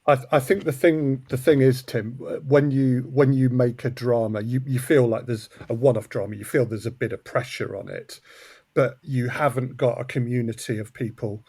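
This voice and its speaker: A man with a medium-pitched voice talks as an interview guest on a podcast. He phrases things thoughtfully, pausing and restarting, and the sound is very dry. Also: fairly even intonation, darkish tone, husky, no room sound. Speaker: podcast guest, audio drama producer. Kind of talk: conversational interview.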